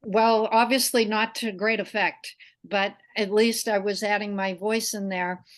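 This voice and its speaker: A woman's voice: mid-range voice, fairly even intonation, a little slow. A middle-aged woman hosting an interview, speaking earnestly and reflectively about her own experience. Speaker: interview host. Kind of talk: conversational interview.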